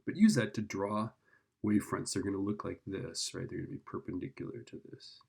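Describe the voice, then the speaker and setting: A man's voice: low-pitched, steady tone, a little fast, vocal fry, a little mellow. A man teaching physics informally, working through a problem step by step and thinking aloud in a casual, check-in manner. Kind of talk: informal worked-problem explanation.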